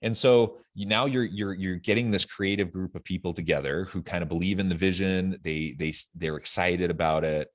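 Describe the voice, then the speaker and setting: A man with a deep voice hosts a podcast interview. He speaks conversationally and wordily, working through his thoughts aloud as he builds up to a question. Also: normal speed, hardly any pauses. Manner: wordy, thinks aloud while framing questions.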